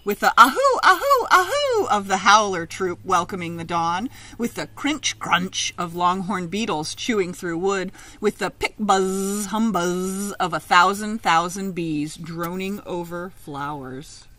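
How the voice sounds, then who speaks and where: A middle-aged woman reads a children's picture book aloud outdoors, with expressive, emphatic, sing-song phrasing. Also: medium pitch, unhurried, hardly any pauses. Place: outdoors in a leafy garden.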